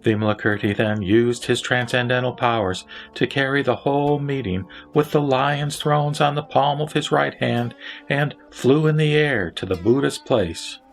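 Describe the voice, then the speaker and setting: A man reciting scripted scripture narration in a measured, solemn manner. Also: mid-range voice, vocal fry. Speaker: narrator and reciter of a Buddhist sutra. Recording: background music under the voice. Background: instrumental music.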